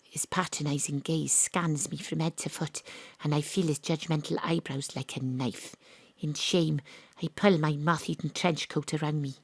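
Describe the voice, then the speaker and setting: An actress playing a wry, world-weary private detective, deadpan and confiding, in noir audio drama style. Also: female voice, low voice, normal speed, slightly rough, no echo. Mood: brooding, confiding. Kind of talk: noir detective narration and dialogue.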